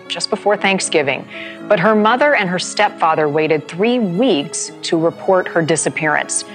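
Low-voiced woman narrating a case. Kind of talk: scripted true-crime narration.

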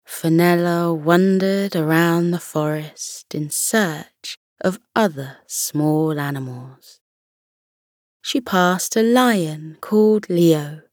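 A woman narrating a children's bedtime story in a slow, soothing style. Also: sits low, a little mellow, dry recording, studio quality.

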